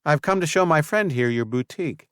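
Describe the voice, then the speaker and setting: A man's voice: a little high, lively intonation, fairly quick, fairly bright tone, clear, resonant tone, dry recording, clean studio sound, pitched above the performer's own voice. A man voicing a poised, gracious woman mayor who speaks politely. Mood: friendly.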